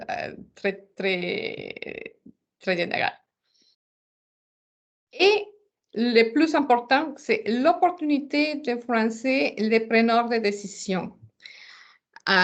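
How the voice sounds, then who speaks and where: A non-native French-speaking woman with a foreign accent presenting a webinar informally, searching for words and often repeating them. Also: fairly high pitch. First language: not French.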